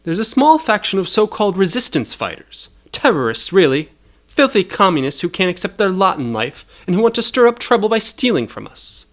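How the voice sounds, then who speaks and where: A man's voice: sits high, very animated, brisk, pitched above the performer's own voice. A man voicing an officious, ruthless male handler of a hired assassin in an audio story, businesslike and scornful. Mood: contemptuous.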